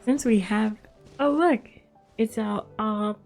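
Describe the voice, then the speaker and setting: A woman's voice: fairly high pitch, slow, darkish tone, soft background music. A young adult woman livestreaming a game, chatting casually and reacting as she plays.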